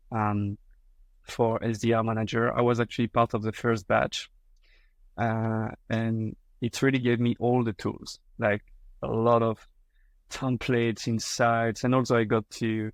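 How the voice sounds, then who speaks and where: A man's voice: medium pitch, dry recording. A man in his thirties with a French accent, a guest on a podcast interview, talking with frequent fillers and restarts. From France. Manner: hesitant, many fillers and restarts.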